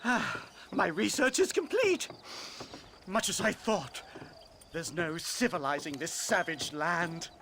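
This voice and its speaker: A man playing a nervous, polite gentleman academic in a western game cutscene, flustered and a little pompous. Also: on the high side, very animated, takes it slowly, full character voice. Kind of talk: video game cutscene acting. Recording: clear background noise with clicks.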